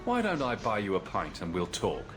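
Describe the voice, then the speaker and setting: Wry, lowish-voiced adventurer. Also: male voice, wildly swooping pitch, normal speed, a bit bright, faint music behind. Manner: wry, glib. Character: joking, easygoing male adventurer hero. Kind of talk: video game voice acting.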